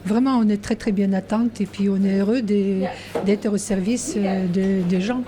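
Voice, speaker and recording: A woman from Ukraine, a volunteer, speaking heartfelt French with many pauses and fillers. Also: mid-range voice, fairly even intonation, noisy background. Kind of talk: interview answers. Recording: busy room with crowd chatter.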